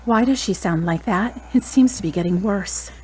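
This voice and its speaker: Actress playing a tender, grieving adult daughter. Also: female voice, medium pitch, moderate pace, slight background noise, lightly acted. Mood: worried. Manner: tender, plaintive, talking softly to a loved one. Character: grieving adult daughter at her mother's deathbed. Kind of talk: audio drama acting.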